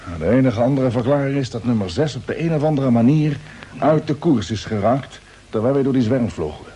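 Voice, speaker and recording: A grave spaceship captain, animated delivery. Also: male voice, mid-range voice, a little fast, breathy edge. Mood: pondering, grave. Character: Jeff, grave, commanding male spaceship captain. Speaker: actor in a radio drama. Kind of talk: Dutch radio play acting, mid-century. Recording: noisy old radio drama recording.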